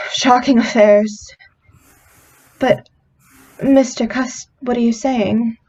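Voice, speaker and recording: A gentle young woman played by an actress in an old time radio play, fearful and disbelieving. Her voice is high and unhurried, on slightly dull, muffled audio. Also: fairly even intonation, heavily acted. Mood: soothing, puzzled.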